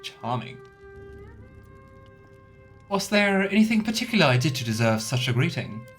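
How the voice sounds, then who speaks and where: A man's voice: medium pitch, sing-song, normal speed, fairly bright tone. A man hosting a let's play, chatting casually and musing aloud as he goes. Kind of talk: casual game commentary. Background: game music.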